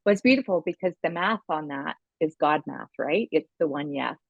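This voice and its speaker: Podcast host, woman, slightly low pitch, affirming delivery, phone-like narrowband sound. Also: lively intonation, normal speed, gravelly, husky, no echo. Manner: affirming, effusive, gropes for words in lists. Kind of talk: conversational interview.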